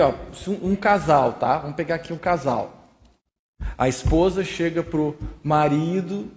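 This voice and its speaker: A man's voice: on the high side, darkish tone. A man with a Brazilian accent leading an informal group discussion, explaining his points with everyday examples. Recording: reverberant hall.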